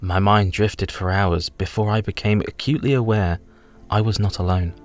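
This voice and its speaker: An actor playing an earnest, polite young solicitor in a radio play, speaking formally and precisely. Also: male voice, fairly low pitch, fairly even intonation, moderate pace, lightly acted. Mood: uneasy narration.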